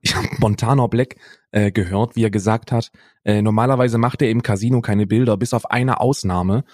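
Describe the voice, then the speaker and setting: A man's voice: fairly low pitch, fairly even intonation, normal speed, darkish tone, no room sound, studio quality. A man co-hosting a podcast in casual chat, a slangy and sardonic storyteller. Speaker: podcast co-host.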